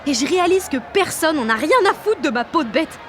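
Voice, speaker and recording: A woman's voice: high-pitched, expressive, fairly quick, a bit bright, a little hiss or hum. An actress playing a slangy, self-conscious sixteen-year-old girl in a French audio drama, gushing and mocking herself as she narrates. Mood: wide-eyed wonder. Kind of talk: audio drama, slangy first-person inner narration and dialogue.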